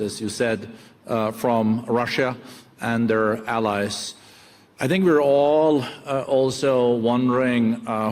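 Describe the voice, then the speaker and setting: A man with a Norwegian accent, a native Norwegian speaker, moderating an onstage interview conversationally with hesitant, halting phrasing. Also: medium pitch. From Norway. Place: large conference hall stage. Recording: reverberant conference hall PA.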